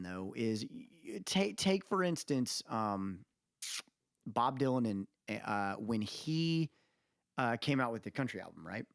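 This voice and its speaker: A man's voice: medium pitch, gravelly, dry recording, clean studio sound. A man co-hosting a podcast, talking casually and opinionatedly about music in a rambling, storytelling way. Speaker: podcast co-host.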